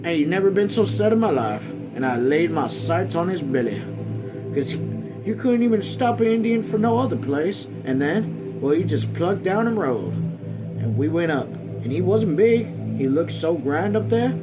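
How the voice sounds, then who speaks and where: A man's voice: on the high side, very animated, normal speed, rough recording. Man reading aloud over prominent music. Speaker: host reading a novel aloud. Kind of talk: read-along narration with dramatized folksy dialogue. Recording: music playing behind the voice.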